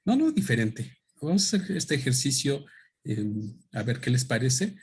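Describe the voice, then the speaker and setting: A man speaks into a microphone at a book presentation. His pitch is mid-range for a man, and he talks in a thoughtful, halting way, often pausing and restarting his sentences. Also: big pitch swings, a little mellow, breathy edge. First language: Spanish. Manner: thoughtful, hesitant, with many fillers and restarts. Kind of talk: unscripted talk at a book presentation.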